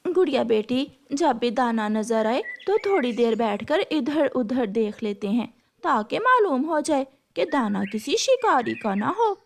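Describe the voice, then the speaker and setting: A woman's voice: a little high, big pitch swings, a little slow, creaky, hardly any pauses, exaggerated voice. A woman voicing a protective, encouraging mother crow in an Urdu cartoon, motherly and emphatic.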